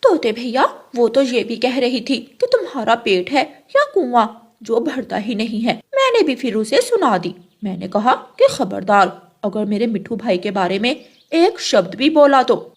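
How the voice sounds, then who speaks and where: A woman's voice: fairly high pitch, sing-song, unhurried, exaggerated voice. A woman voicing a spiteful, lying mother crow in a cartoon, bossy and full of sly insinuation. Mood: scheming, insinuating.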